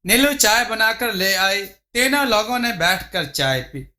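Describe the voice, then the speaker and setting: A man's voice: high-pitched, expressive, unhurried, bright, forceful tone. A man reading a scripted folk tale as a storyteller. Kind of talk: scripted narration of a Hindi moral folk tale.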